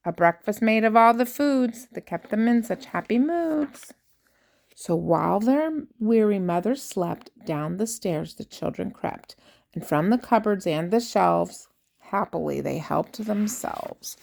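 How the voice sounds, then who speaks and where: An older woman, a grandmother, reads a rhyming children's picture book aloud with expressive, theatrical emphasis on key words. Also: mid-range voice, vocal fry. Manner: theatrical emphasis, drawn-out key words, dropping to hushed asides.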